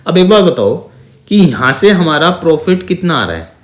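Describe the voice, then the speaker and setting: A man's voice: a little high, very animated, normal speed. An Indian man teaching an exam-prep maths lesson step by step in a didactic way, mixing Hindi with English terms and often asking check-in questions. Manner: didactic, with frequent check-in questions and repeated phrases. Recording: echoey, reverberant room sound.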